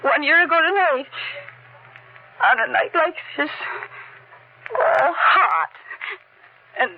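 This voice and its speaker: An actress playing a desperate, unhinged murderess in a breathless, melodramatic 1940s radio thriller style. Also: female voice, high voice, takes it slowly. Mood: haunted, bitter. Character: desperate, unhinged young woman murderer, haunted by dead sister. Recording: noisy, muffled 1940s radio recording.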